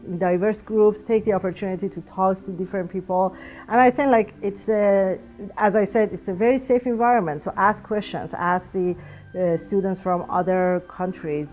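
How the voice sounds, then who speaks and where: A woman's voice: mid-range voice, darkish tone. A woman in her thirties whose first language is Farsi, answering interview questions earnestly and sometimes searching for words. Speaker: alumna guest.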